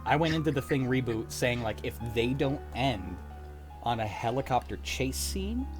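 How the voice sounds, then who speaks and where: A man's voice: medium pitch, animated, normal speed, soft background music. A young adult man hosting a livestream, chatting casually with friends in a joking, profane way. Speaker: host of a script-reading livestream. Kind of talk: casual group chat.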